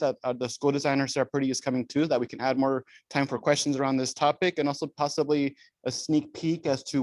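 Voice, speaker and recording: A man's voice: medium pitch, a little mellow, dry recording. A man, a school administrator, giving staff updates at a parent meeting and switching between English and Spanish. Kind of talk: bilingual staff announcement.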